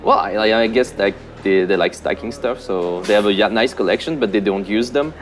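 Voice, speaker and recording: A man with a fairly low voice answers questions casually, pausing often with ums and uhs. He sounds relaxed and easygoing and drops in a bit of dry, self-mocking humor. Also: soft background music. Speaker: senior game producer.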